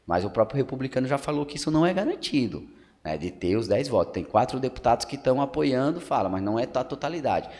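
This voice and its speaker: A man's voice: medium pitch, big pitch swings, fast talker, darkish tone. A man in his thirties from Pernambuco, a podcast guest and local journalist giving casual political commentary, explaining at length with frequent tag questions and fillers. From Pernambuco, Brazil. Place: council building room. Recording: long hall reverb.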